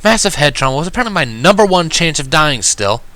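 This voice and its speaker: A man narrating a first-person story in an expressive, reflective and dramatic way. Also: fairly high pitch, fast talker, no room sound. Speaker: reader of fan fiction. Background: background music.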